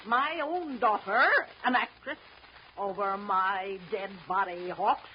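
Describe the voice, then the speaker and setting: A woman's voice: mid-range voice, slow pace, a little hiss or hum, natural voice. An actress playing a sour, domineering middle-aged mother, scolding and complaining in 1930s radio style. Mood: indignant scolding. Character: sour, domineering mother, a nagging riverboat captain's wife. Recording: dull old 1930s radio recording.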